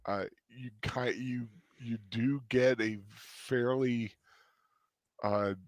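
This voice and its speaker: A man's voice: medium pitch, fairly even intonation, no echo. Tired sore guy chatting about a show, stumbles and restarts a lot, dry mic. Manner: halting, many restarts and fillers, laughs easily. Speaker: co-host. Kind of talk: casual review chat.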